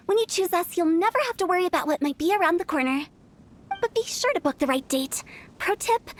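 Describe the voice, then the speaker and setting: Young female undertaker for a game: very high-pitched, breezy, mischievous, cheerfully morbid salesmanship. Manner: breezy, playful sales patter. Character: quirky cheerful young woman, funeral parlor director, mischievously morbid. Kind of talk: animated video game voice acting.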